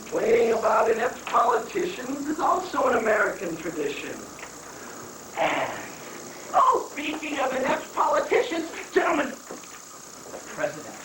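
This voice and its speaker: A performer playing an excitable, indignant presidential aide, emphatic and declamatory, in an amateur dramatic reading of a satire. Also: mid-range voice, measured pace, creaky, pauses often. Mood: scornful. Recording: noisy, echoey live reading from far off.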